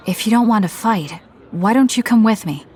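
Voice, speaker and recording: A woman voicing a calm, blunt young soldier heroine in an anime-style game, speaking in a measured, matter-of-fact way. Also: fairly high pitch, a faint crowd, natural voice. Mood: cool. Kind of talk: anime game cutscene acting.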